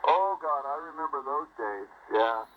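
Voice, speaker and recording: A man's voice: medium pitch, lively intonation, moderate pace. A middle-aged man chatting on ham radio, telling stories in an easygoing way. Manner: easygoing storyteller, chuckles between remarks. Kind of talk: casual on-air radio chat. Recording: muffled shortwave radio signal with faint hiss.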